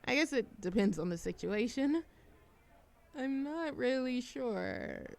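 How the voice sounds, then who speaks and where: A woman's voice: sits high, expressive, slow pace, gravelly, a little mellow, heavily acted. Woman acting as a shy, rambling, bookish young woman. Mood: awkward, musing. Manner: nervous giggles, hesitant, self-deprecating rambling. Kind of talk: roleplay ASMR audio drama, conversational monologue.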